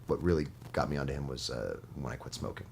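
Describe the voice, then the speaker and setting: A man talking as a guest in a casual studio conversation, earnest and halting, often restarting his sentences. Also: very deep voice, gravelly, slight background noise.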